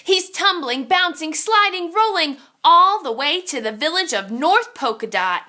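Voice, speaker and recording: A woman's voice: very high-pitched, lively intonation, measured pace, sharp tone. A woman reading a children's picture book aloud in a theatrical, suspenseful way, drawing out her exclamations. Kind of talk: animated children's story read-aloud.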